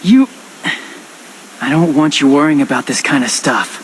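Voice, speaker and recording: A man's voice: a little high, expressive, normal speed, a little hiss or hum, natural voice, poor audio. A man playing a brash, hot-headed teenage boy in a video game, talking bluntly in casual slang. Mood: upset, protective. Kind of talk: animated video game voice acting. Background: loud music.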